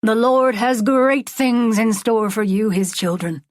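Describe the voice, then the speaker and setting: A woman voicing a folksy, charismatic male megachurch preacher, preaching with drawn-out words and dramatic pauses. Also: a little high, dry recording, studio quality, close to an everyday voice. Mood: rousing. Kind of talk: audiobook character voice.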